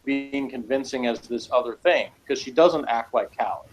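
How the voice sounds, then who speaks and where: A man's voice: mid-range voice, normal speed, soft background music, poor audio. A man chatting casually as a reviewer, giving his opinions on an episode in a rambling way.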